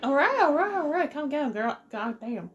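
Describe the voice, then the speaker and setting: High-pitched, animated woman gamer. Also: moderate pace, slight background noise. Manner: exclamatory, informal. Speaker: gaming streamer. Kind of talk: casual gameplay commentary.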